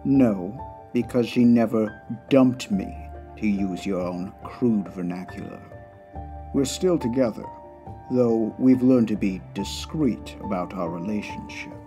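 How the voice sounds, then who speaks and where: A man's voice: on the low side, a little slow, music in the background. A man playing a haughty, wealthy aristocratic villain in a comic dub, speaking with formal, pompous precision. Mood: haughty, composed.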